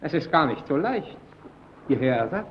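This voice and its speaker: An actor playing a guarded, brooding lighthouse technician in an old radio play, terse, evasive and defensive. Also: male voice, fairly high pitch, big pitch swings, moderate pace. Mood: guarded, gloomy. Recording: dull, noisy old radio recording.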